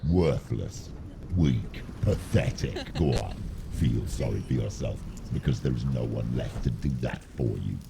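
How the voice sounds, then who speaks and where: An actor playing a menacing, scornful demon in a video game, growling contemptuous commands at a warrior. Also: male voice, expressive, unhurried, full character voice. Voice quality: deep gravelly growl. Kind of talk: dramatic video game acting. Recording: loud rumbling noise behind.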